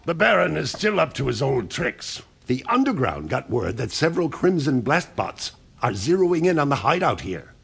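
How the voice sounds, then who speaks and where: Very gravelly, cranky old man. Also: mid-range voice, sing-song, normal speed, a bit bright, a little hiss or hum. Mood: grave, irritated. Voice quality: gravelly, raspy. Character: cranky, bossy old man, rebel elder. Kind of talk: video game cartoon acting.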